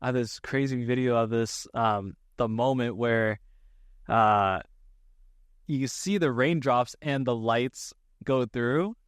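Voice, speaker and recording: A man's voice: mid-range voice, takes it slowly, clear, resonant tone, no room sound, clean studio sound. A man hosting a casual conversation, talking in meandering, thoughtful phrases. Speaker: podcast host. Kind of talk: casual interview chat.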